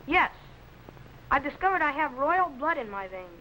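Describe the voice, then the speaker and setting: A pompous young teenage boy in a vintage TV space serial. His voice is high and bright, carefully enunciated and full of self-importance, with faint old film noise. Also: very animated, moderate pace, full character voice, low-quality recording. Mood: airy, self-important. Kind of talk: 1950s children's TV sci-fi acting.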